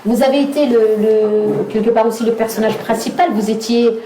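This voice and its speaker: A woman's voice: on the high side, steady tone, fairly quick, dark tone. Woman host in a noisy echoey hall. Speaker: host, interviewer. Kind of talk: live on-stage interview.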